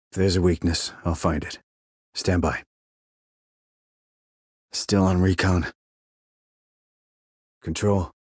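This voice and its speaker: A man playing a terse, calm military sniper in a video game, clipped and controlled, reporting in tactical radio calls. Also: no echo, clean studio sound. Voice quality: low, husky. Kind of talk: tactical radio call acting.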